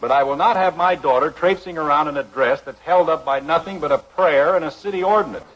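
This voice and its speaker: A man's voice: fairly high pitch, brisk, background noise, lightly acted. An actor playing an exasperated, sarcastic middle-aged suburban father in a 1950s radio sitcom. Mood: grumbling. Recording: dull vintage radio recording with music.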